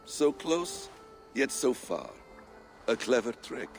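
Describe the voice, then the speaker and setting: An actor playing a calm, calculating ancient man in a video game, courteous but superior, with measured formal speech. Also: mid-range voice, vocal fry, faint music behind, heavily acted. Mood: cool, wry. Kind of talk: dramatic video game acting.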